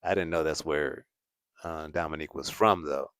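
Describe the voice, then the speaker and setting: A man's voice: very deep voice, moderate pace, fairly bright tone, no echo, studio quality. A man hosting a casual livestream, chatting to viewers and laughing often. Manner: laughs often, repeats "at the end of the day". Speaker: host.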